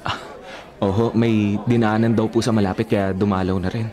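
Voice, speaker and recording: A man's voice: lowish voice, level tone, normal speed, warm tone, some chatter behind, lightly acted. A man in a radio drama playing an earnest, respectful young vice mayor in love, polite and hesitant in speech.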